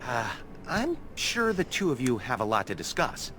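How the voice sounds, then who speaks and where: A man's voice: medium pitch, wildly swooping pitch, moderate pace, noisy background. An actor playing an anxious, good-natured young male elf in a fantasy video game, hesitant and worried. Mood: awkward, hesitant.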